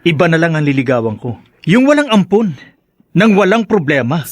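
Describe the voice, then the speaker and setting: A man's voice: fairly high pitch, sing-song, normal speed, dry recording, studio quality. An actor playing a brash male friend giving scornful advice in a radio drama. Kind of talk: Filipino radio drama acting.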